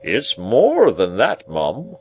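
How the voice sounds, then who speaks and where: Man acting pompous older uncle, patronising, emphatic, swooping intonation, drawn-out words, storybook villainy. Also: lowish voice, huge pitch swings, slight background noise, heavily acted. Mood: knowing. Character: pompous, patronising older uncle, self-important male seedsman. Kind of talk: audiobook character voice.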